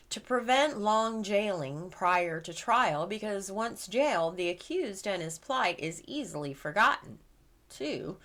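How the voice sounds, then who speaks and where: A woman reading scripted nonfiction aloud as a narrator, with measured, deliberate phrasing and emphatic pauses. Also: mid-range voice, fairly bright tone. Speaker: reader of a legal book.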